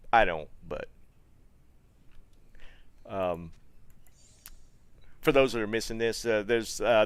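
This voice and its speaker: A middle-aged man with a Southern American accent from North Carolina, chatting casually while streaming a game and answering viewer comments, with plenty of fillers and restarts. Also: on the low side. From North Carolina, USA. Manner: relaxed, rambling, many fillers and restarts.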